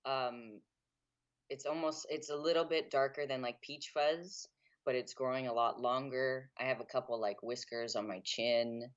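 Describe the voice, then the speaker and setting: A man's voice: mid-range voice, steady tone, moderate pace, dull audio. A young man, a guitarist and guitar teacher, speaking frankly and openly as a guest in a casual interview, with a self-deprecating streak. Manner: frank and open, laughs easily, self-deprecating. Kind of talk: candid casual interview talk.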